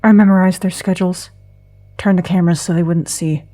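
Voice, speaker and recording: Woman doing a deadpan facility worker, few words, mellow, pretty monotone, podcast drama. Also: on the low side, a little fast, natural voice. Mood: guarded. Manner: deadpan, terse. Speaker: actor in audio drama.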